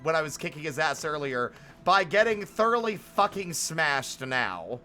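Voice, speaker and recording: A man doing casual, animated live game commentary, reacting freely and swearing as he talks to viewers. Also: a little high, measured pace, a little hiss or hum. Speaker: game streamer.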